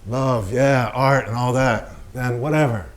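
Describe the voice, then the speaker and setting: A man's voice: mid-range voice, steady tone, a little slow, a little hiss or hum, natural voice. Blunt, brooding hard-drinking painter played by a man. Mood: mocking, drunk. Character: Jackson, hard-drinking, menacing, self-pitying male painter. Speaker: stage actor. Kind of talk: theatrical staged reading, narration mixed with dialogue.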